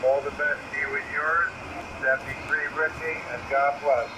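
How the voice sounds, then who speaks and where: A man's voice: fairly high pitch, level tone, moderate pace. A man making amateur radio calls with phonetic spelling, heard over shortwave radio. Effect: shortwave radio reception. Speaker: amateur radio operator on air. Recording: noisy shortwave radio from a speaker.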